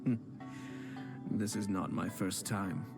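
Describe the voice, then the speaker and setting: Here is a polished young nobleman from a fantasy game. His voice sits a little low and stays calm and measured, confident without any push. Game music plays clearly behind him. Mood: composed. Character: composed young male noble strategist. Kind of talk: game voice acting.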